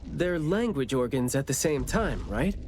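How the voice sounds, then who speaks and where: An actor playing a sensitive, thoughtful young investigator in a video game, expressive and reflective. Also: male voice, mid-range voice, a little slow, slight background noise. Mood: pensive.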